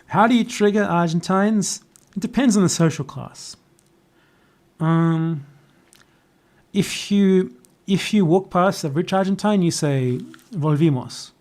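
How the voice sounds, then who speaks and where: Higher-voiced Australian man, blunt and sardonic. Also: takes it slowly, a little mellow. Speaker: livestreamer answering chat questions. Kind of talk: casual off-the-cuff political chat.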